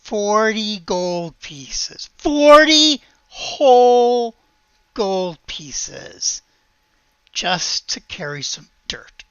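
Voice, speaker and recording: A woman voicing an eager, gossipy teenage village girl in a tabletop roleplay, halting and boastful about what she knows. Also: medium pitch, expressive, fairly bright tone, clear, resonant tone, dry recording, lo-fi sound, pitched above the performer's own voice. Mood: conspiratorial.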